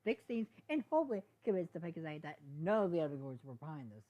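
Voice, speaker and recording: A woman's voice: sits low, big pitch swings, fast, warm tone. Woman voicing an earnest, reassuring pony friend. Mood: firm. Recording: dull, lo-fi sound.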